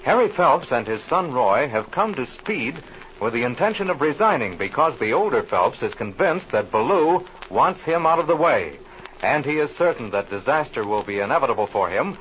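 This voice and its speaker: A man announces an old-time radio serial in scripted narration. His mid-pitched voice moves with lively, wide inflection. The old recording has a faint noise under it. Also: moderate pace, poor audio.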